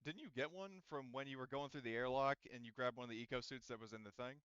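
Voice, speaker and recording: A man's voice: medium pitch, fast, a bit bright, clear, resonant tone, dry recording, muffled recording. A man running a tabletop game as game master in casual chat, rambling and correcting himself as he goes.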